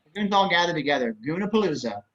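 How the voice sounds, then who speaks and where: A man's voice: a little high, expressive, fast talker, a bit bright. A man narrating stage directions for a script table reading over a dull-sounding video call.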